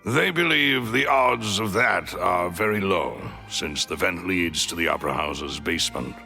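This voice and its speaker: A man voicing a formal, courteous and dutiful attendant in a video game, with a gravelly voice. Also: deep voice, lively intonation, a little slow, pushed and bright, soft background music, full character voice.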